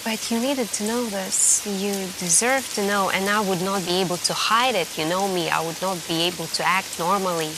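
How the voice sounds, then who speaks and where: A woman playing a shaken, secretive hacker friend in a soft-spoken ASMR roleplay, protective and hesitant as she confides. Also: medium pitch, fairly even intonation, noisy background, close to an everyday voice. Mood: uneasy, warning. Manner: hesitant, halting, hushed and confiding.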